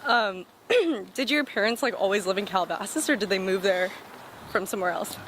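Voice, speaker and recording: A young woman from the Los Angeles area hosts a relaxed sidewalk interview. She asks questions casually and jumps in with short reactions. Her pitch sits in the middle, with faint street noise around her. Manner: chatty, reacts with quick exclamations. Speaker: interviewer host. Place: outdoors on a sidewalk.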